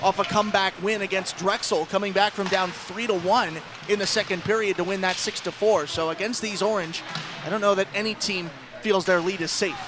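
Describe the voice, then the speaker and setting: High-pitched man calling hockey play-by-play. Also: fairly even intonation, background noise. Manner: rapid descriptive calls, raised voice on action. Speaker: play-by-play sports announcer. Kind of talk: live radio ice hockey commentary.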